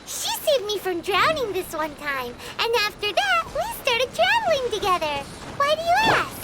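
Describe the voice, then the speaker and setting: A woman voicing a tiny floating childlike mascot companion in a video game, chirpy and cheeky with quick quips. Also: very high-pitched, wildly swooping pitch, heavy background noise, exaggerated voice. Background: game background music.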